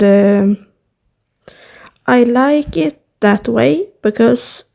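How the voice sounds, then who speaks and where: A woman's voice: a little high, creaky, warm tone. A young woman from Sweden with a Swedish accent, talking casually to camera in a hesitant way with many fillers, recorded on a device mic with no external microphone. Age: young adult. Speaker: YouTuber. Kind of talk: casual vlog talk to camera.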